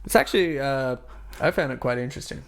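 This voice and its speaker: A man's voice: mid-range voice, animated, quick pace, gravelly, fairly bright tone. A man co-hosting a podcast in casual banter, joking and rambling with lots of fillers. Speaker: podcast co-host. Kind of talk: casual banter with put-on accents. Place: Berlin. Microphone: podcast microphone.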